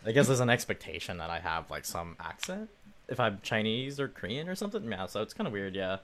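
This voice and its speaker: A man's voice: fairly low pitch, very animated, a little fast, a bit bright. A laid-back young man chatting casually in an easygoing way. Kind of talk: casual chat.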